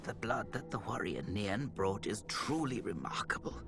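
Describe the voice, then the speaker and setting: A man playing a grave, compassionate, scholarly temple healer in a video game, with formal, thoughtful, measured delivery. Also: on the low side, animated, creaky, music in the background. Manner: solemn, formal, thoughtful, old-fashioned diction. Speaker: video game character voice actor. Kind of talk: scripted game dialogue, dramatic and measured.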